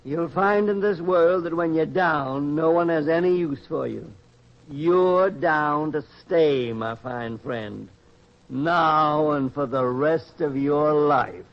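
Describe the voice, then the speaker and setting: Man acting a slow-talking, cynical old pig tender. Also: fairly high pitch. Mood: grim, fatalistic. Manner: folksy, wry, philosophizing. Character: cynical, world-weary old male pig tender, teasing and fatalistic. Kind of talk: old-time radio drama acting. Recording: dull, lo-fi radio-style recording.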